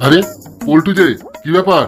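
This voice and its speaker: A man's voice: on the high side, steady tone, fairly quick, over music, close to an everyday voice. A man voicing a chatty, fussy, placating village tea seller in a comedy cartoon. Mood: cheerful, placating. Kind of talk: exaggerated comedy cartoon dialogue.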